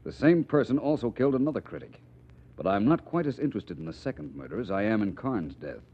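A polished, dry private detective with a lowish voice that moves expressively. He questions politely but pointedly. The sound is a muffled 1940s radio broadcast. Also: big pitch swings, fast. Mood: probing, pointed. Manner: polite, precise, dryly pointed. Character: suave, probing male private detective, politely persistent.

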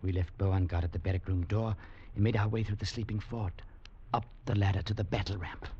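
An actor playing an earnest young legionnaire in an old-time radio drama, speaking gravely, dramatically and articulately. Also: male voice, fairly low pitch, fairly even intonation, a little fast, dark tone, a little hiss or hum, close to an everyday voice. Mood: grave narration. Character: earnest young male legionnaire recounting his adventure. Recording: muffled vintage radio with music.

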